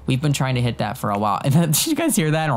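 A young man with a cold and a sore throat, chatting excitedly to viewers as he plays a life-simulation game into a headset mic. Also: mid-range voice, clean tone. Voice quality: voice sounds a bit off from illness. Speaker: gaming YouTuber. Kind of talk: casual let's-play commentary.

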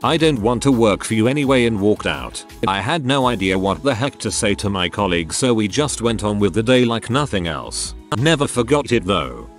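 A man narrating online posts in an even, low-key reading voice. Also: moderate pace, hardly any pauses, faint music behind. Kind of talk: scripted narration.

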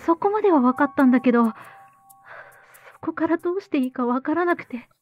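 A young actress, earnest and determined, in an audio drama. Her voice is high, her talk informal and sincere, with soft music underneath. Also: lively intonation, fast, narrow, tinny audio. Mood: confiding, serious. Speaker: voice actor. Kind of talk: Japanese audio drama acting.

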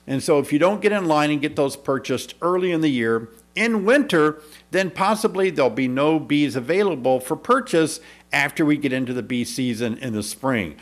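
A man in his fifties, a beekeeping instructor giving an upbeat, persuasive video lesson to camera in a small indoor studio, speaking into a desk mic. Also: a little high. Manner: upbeat, persuasive, clear teaching delivery. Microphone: desk microphone in front.

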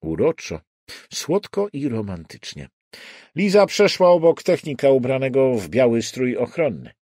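A man's voice: medium pitch, sing-song, moderate pace, a little mellow, no echo, clean studio sound. A man reading a crime novel as an audiobook narrator, in scripted narration.

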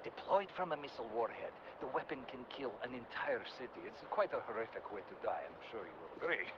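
An actor playing a ruthless, coldly persuasive Soviet general in a video game, speaking in a deliberate, grandiose and menacing manner. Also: male voice, medium pitch, sing-song, background noise, natural voice. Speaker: military commander villain. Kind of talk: video game audio log acting. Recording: muffled game audio with loud background ambience.

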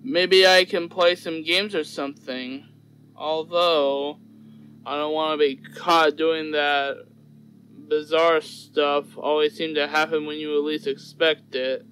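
Man acting a young ship crewman, slow-paced, high voice, plain hesitant delivery, easily panicked, horror game. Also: fairly even intonation, a bit bright. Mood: bored, drowsy. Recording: clearly noisy amateur microphone recording.

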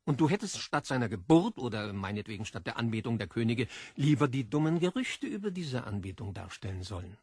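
Pompous, animated literary editor. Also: male voice, medium pitch, a little fast, hardly any pauses, breathy edge, no echo. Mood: lecturing. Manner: didactic, pompous. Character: self-assured, learned male literary editor, lecturing. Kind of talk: radio play acting.

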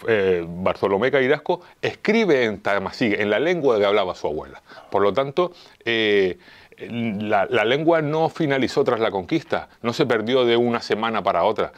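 A fifty-year-old man with a Canarian Spanish accent, explaining things as an interview guest, haltingly, searching for words and repeating phrases. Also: medium pitch, lively intonation, dull audio. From Las Palmas, Canary Islands. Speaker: interview guest, local police officer and heritage enthusiast. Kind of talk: interview answers, explanatory talk.

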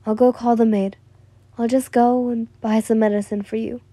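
A woman's voice: fairly high pitch, little pitch movement, moderate pace, dark tone, no echo, lightly acted. A woman playing a caring, conflicted young older-sister figure, apologetic and soothing as she pleads. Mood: gentle concern.

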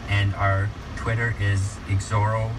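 A man's voice: lowish voice, level tone, measured pace, distant mic, background noise. A young man, talking casually as an interview guest. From Alberta, Canada. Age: teens, high school senior. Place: radio studio.

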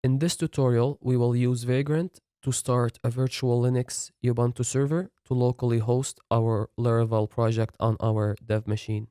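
A man's voice: medium pitch, steady tone, moderate pace, few pauses, dark tone, smooth, dry recording, clean studio sound. A man narrating a screen-recorded coding tutorial, explaining each installation step plainly. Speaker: software tutorial instructor.

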